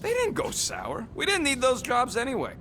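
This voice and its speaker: An actor playing a small, irritable, cocky bounty hunter creature in a video game, with brash, slangy street talk. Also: male voice, very high-pitched, huge pitch swings, moderate pace, noisy background, exaggerated voice. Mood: grudging, boastful.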